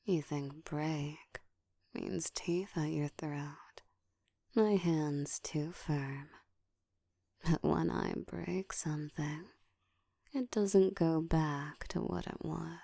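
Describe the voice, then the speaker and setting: A woman playing a seductive, domineering ancient vampire in an intimate ASMR roleplay, speaking in a slow, breathy whisper with a teasing, commanding manner, close to the mic. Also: deep voice, fairly even intonation, mellow, dry recording, exaggerated voice. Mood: dark, domineering. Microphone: close microphone.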